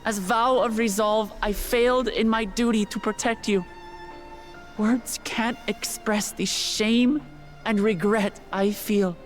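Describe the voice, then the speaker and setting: A woman plays a young royal leader in a video game, dignified and resolute. Her mid-pitched voice is solemn and measured, with faint music underneath. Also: fairly even intonation, lightly acted. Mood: solemn grief.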